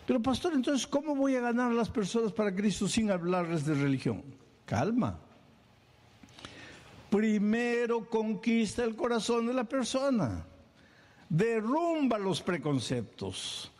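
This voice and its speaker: Animated preacher man, mellow voice. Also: high voice, measured pace. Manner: animated, acts out little scenes and questions. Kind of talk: sermon.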